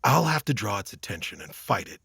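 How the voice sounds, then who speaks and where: A man's voice: on the low side, big pitch swings, fairly quick, vocal fry, a bit bright, breathy edge, no room sound, heavily acted. A man playing an earnest, loving monster-slaying warrior in a fantasy audio drama. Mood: resolute. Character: armored heroic male monster slayer, loving and earnest.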